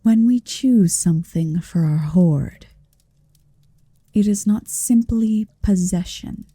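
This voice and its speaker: Dark, breathy dragoness, a woman acting, murmuring slowly. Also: mid-range voice, dry recording. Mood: possessive, admiring. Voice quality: soft, breathy. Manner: deliberate, teasing, dominant, lingering on phrases. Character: possessive motherly female dragon, tender, proud, quietly menacing. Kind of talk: ASMR roleplay, intimate slow murmuring and whispering. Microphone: close microphone, dry.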